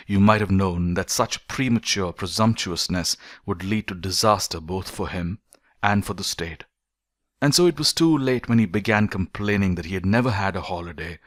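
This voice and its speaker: A man reading a philosophical essay as an audiobook narrator, in measured, deliberate phrases with emphatic stresses. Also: low voice, a bit bright, no room sound, studio quality.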